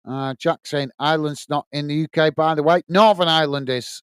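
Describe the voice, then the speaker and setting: A man's voice: a little high, fairly even intonation, brisk, clean tone, no echo, studio quality. A man with a strong Lancashire accent hosting a live football watch-along, calling the play and reading out viewer comments in a chatty way with lots of "uh"s. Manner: chatty, reads out chat messages with filler "uh".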